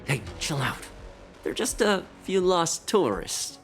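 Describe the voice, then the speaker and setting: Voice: mid-range voice, sing-song, takes it slowly, faint music behind. A voice actor playing a placating impostor brushing off questions, in a game cutscene. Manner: placating, dismissive. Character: smooth-talking impostor port staffer. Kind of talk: video game cutscene voice acting.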